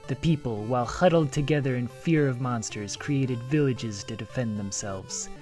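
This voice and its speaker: A man with an ordinary mid-range voice presents a game video, reading translated text aloud in a steady voice-over. He often breaks off in a jokey, eager tone to comment, and soft game music plays behind him. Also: mid-range voice, normal speed. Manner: lively, jokey, breaks off to comment with sudden excitement.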